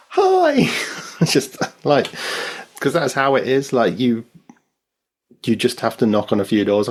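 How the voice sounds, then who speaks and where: A man as a podcast guest, telling stories in a relaxed, hesitant way with many ums. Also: on the low side, slightly rough. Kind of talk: casual chat.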